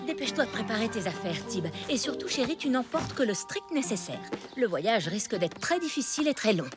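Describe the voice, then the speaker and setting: A woman playing a caring, protective mother with a tender, expressive delivery in a French children's cartoon. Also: a little high, fairly quick, over loud music. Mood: firm, concerned.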